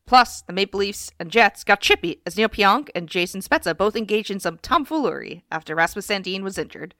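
A woman's voice: medium pitch, animated, a little fast, fairly bright tone, no echo, studio quality. A woman co-hosting a casual hockey podcast, congested and stuffy, chatting with plenty of fillers. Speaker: podcast co-host. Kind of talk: casual sports podcast chat.

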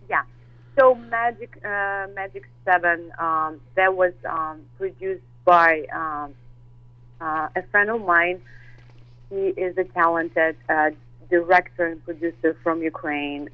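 Woman, halting, lo-fi. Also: fairly low pitch, no room sound. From Russia. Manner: hesitant, many fillers and restarts, laughs easily. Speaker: actress and model guest. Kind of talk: interview chat.

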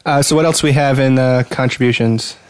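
A man's voice: mid-range voice, steady tone, fairly quick, clean tone, no room sound. A man with a mid-range voice chats informally as a podcast co-host. The recording is dry, without room sound. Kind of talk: casual podcast chat.

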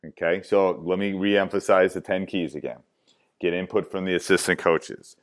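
A very deep-voiced man with a loud voice walks through basketball timeout tips. He hammers points home by repeating them, and his talk is dotted with "um"s and restarts. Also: fairly even intonation, moderate pace. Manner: emphatic, repeats key points, many fillers. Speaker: basketball coach. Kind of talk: coaching clinic talk.

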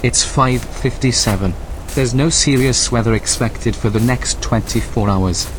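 An actor playing a literal, unflappable station computer assistant with a formal, deadpan manner in a science-fiction audio drama. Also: male voice, mid-range voice, unhurried, background noise, lightly acted. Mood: deadpan, matter-of-fact.

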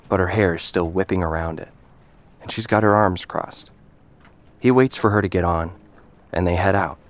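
A man reading scripted narration for an audio drama. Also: deep voice.

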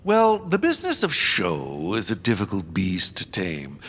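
An actor playing a pompous, haughty villainous land baron in a comedic audio drama, theatrical and dismissive. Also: male voice, low voice, wildly swooping pitch, unhurried, fairly bright tone, heavily acted. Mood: lofty, dismissive.

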